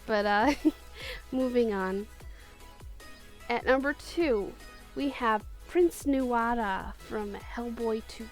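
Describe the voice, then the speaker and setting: A woman's voice: a little high, slow pace, long pauses, soft background music. A woman casually chatting through her favorite picks, gushing and giggly, often restarting her sentences. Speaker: video creator. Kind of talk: casual countdown chat.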